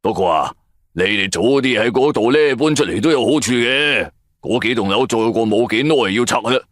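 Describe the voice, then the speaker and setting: A man's voice: medium pitch, animated, normal speed, a bit bright, no echo, studio quality. A man voicing a chatty, boastful middle-aged night taxi driver in a Cantonese audio drama, hearty and bragging. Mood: wry, jovial.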